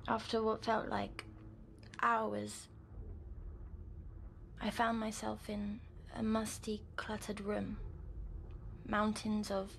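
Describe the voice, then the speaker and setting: A woman playing a timid, troubled young girl patient in an audio drama, weary yet defiant, with a halting way of speaking. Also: medium pitch, monotone, gravelly, a little hiss or hum. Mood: hushed, dreamy. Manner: slow, halting, hushed when recounting dreams.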